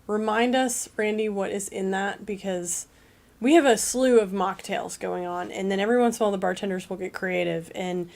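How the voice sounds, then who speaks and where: A woman's voice: medium pitch, fairly even intonation, brisk, vocal fry, darkish tone. A woman co-hosting a livestream, chatting upbeat and reading out viewer comments. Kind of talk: casual chat.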